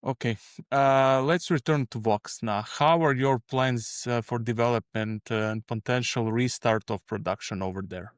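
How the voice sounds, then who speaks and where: A man from Croatia interviewing a company chief, asking halting, searching questions. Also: medium pitch, smooth, dry recording, clean studio sound. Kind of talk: investor interview.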